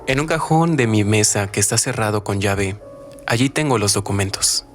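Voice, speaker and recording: A reserved, courteous young man of a radio play, acted by a man, speaks in a fairly low voice. He sounds hesitant and polite, with music faintly behind him. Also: normal speed, natural voice. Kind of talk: radio drama acting.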